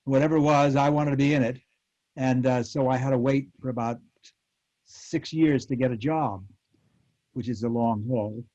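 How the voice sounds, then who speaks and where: An American man in his eighties, a former radio actor, reminiscing in a panel interview with halting, animated storytelling. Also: mid-range voice. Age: 80s. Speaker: former child radio actor, convention guest. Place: remote virtual convention call. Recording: muffled lo-fi call audio.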